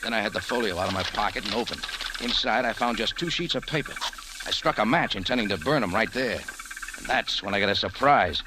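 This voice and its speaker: A man's voice: on the low side, lively intonation, brisk, noisy background, lightly acted. An actor playing a tough, wry private investigator, narrating his case in 1940s radio style. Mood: tense, narrating. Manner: hard-boiled, wry. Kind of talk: 1940s radio acting, first-person narration. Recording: old radio drama recording with music.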